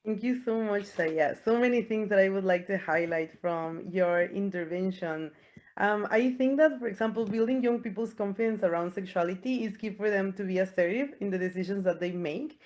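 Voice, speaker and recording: A woman's voice: mid-range voice, moderate pace, a little mellow. Earnest woman moderating a panel. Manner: earnest, uses rhetorical questions.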